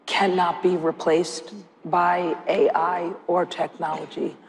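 A woman executive speaks on a panel in an upbeat, corporate tone. Her low voice has a gravelly edge and echoes through a large hall. A faint hum of room noise lies beneath. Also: flat delivery, slow, a crowd in the background. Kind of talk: panel discussion. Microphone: lapel mic.

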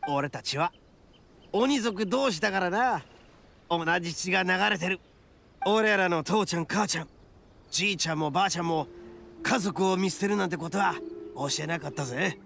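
A man voicing a boisterous, big-hearted young oni gang boss in a Japanese game, cocky and loyal, with swaggering rough speech. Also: sits high, moderate pace, long pauses, a bit bright, slight background noise. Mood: earnest, proud. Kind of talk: Japanese anime game voice acting.

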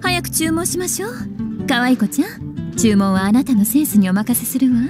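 A woman voicing an elegant, teasing witch librarian in a video game, speaking in an unhurried, coquettish way. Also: on the high side, very animated, music in the background. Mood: playful, relaxed. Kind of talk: Japanese video game voice acting.

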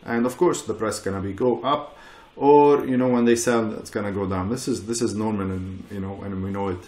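A middle-aged man talking as a panel guest over a video call from his living room, relaxed and peppered with "you know". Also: fairly low pitch, fairly quick, roomy sound. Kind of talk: casual panel talk.

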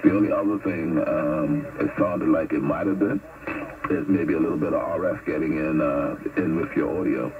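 Deep man over tinny CB radio. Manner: halting, many fillers. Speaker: CB radio operator in Bermuda. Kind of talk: CB radio contact. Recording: tinny radio transmission with noise.

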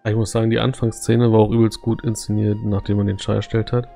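A man's voice: lowish voice, little pitch movement, fast talker, gravelly, dark tone, faint music behind. A man giving casual, laid-back live gameplay commentary as a streamer, in colloquial language. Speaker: game streamer.

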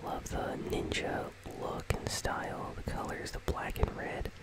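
A gaming channel host speaking entirely in a soft, breathy, unvoiced whisper, giving casual, rambling ASMR commentary. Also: measured pace. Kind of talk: ASMR whispered commentary on a game item shop.

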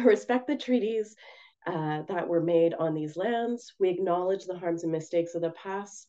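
Woman presenter, hoarse and raspy, mellow gentle delivery, slightly muffled call audio. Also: on the low side. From Canada. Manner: gentle, measured, with frequent ums. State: hoarse from a cold. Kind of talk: webinar presentation. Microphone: video call.

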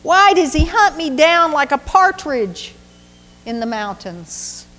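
A woman's voice: on the high side, lively intonation, a little slow, long reverb tail. A woman voicing a young male warrior who taunts his enemies in a sing-song, mocking way. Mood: pleading.

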